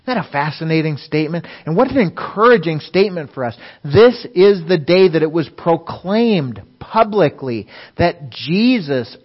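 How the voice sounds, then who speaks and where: A man's voice: a little high, highs cut off. A man giving a sermon, reading Bible passages aloud and explaining them. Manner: reads scripture aloud then explains it. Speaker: preacher.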